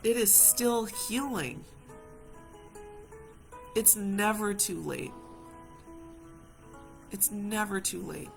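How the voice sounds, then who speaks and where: Female community healer, gentle and reassuring, repeats key phrases, mid-pitched, guitar music behind. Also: very slow, creaky, long pauses. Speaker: community healer hosting a live session. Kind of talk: gentle reflective guided talk to viewers.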